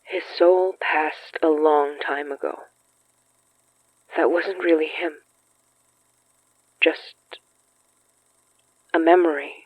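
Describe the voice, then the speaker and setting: A woman's voice: sits low, steady tone, slow pace, long pauses, no room sound, highs cut off. A woman playing an earnest, stubborn young woman who confronts ghosts in an audio horror drama. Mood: sombre, quiet. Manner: earnest, insistent, pleading.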